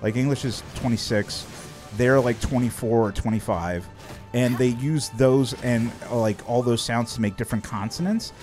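A man's voice: mid-range voice, normal speed, a little mellow. A man chatting casually while he streams a game, his speech loose and rambling, full of "like" and "uh" and restarts. He talks into a close studio mic in a small home room, with faint game music underneath. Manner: rambling, many fillers and restarts. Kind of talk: casual chat while gaming. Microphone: studio mic in front of face.

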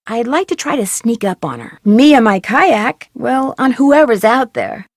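A woman's voice: on the high side, animated, measured pace, dry recording. A woman voicing a curious young female detective in a video game, asking questions in a polite, earnest way. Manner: inquisitive, bright and earnest. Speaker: voice actor for a game heroine.

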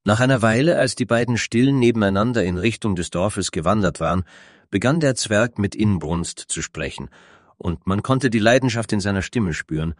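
A man's voice: lowish voice, moderate pace, dry recording, clean studio sound. A man narrating a scripted fantasy story as its storyteller.

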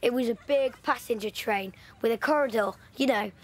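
A woman's voice: a little high, moderate pace, lightly acted. An actress playing a thoughtful young girl evacuated from London, telling her story in a reflective way. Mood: recalling. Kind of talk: audio drama acting.

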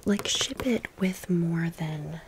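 A woman's voice: fairly low pitch, takes it slowly, a little hiss or hum, low-quality recording. A young adult American woman whispering a casual ASMR storytime close to the microphone, rambling with sassy, emphatic asides. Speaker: ASMR video host.

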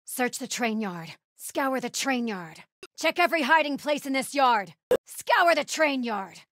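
A zealous female cult warrior from a video game. Her voice is very high and piercingly bright, and it swings widely as she barks hostile, commanding battle cries at her enemies. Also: expressive, measured pace, dry recording, clean studio sound. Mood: menacing. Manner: urgent, commanding, hostile shouting.

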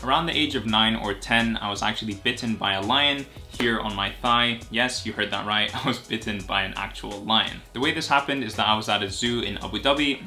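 A man's voice: on the low side, sharp tone. A young man in his twenties, a YouTuber and medical student raised in Greece, chatting casually and directly to camera from a sofa in his living room. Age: 20s. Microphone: camera at medium distance.

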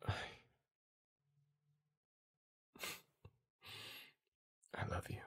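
A man acting a gruff, dominant young bully turned tender boyfriend in a romantic ASMR roleplay, breathy and raspy, halting and intimate. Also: low-pitched, fairly bright tone, full character voice. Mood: tender, confessing.

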